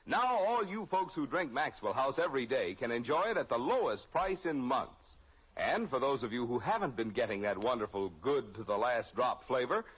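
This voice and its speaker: Male American commercial announcer, mid-pitched, husky, persuasive vintage radio sell, muffled sound. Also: fairly bright tone. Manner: persuasive, polished salesmanship. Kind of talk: 1950s radio advertising read. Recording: muffled old radio recording.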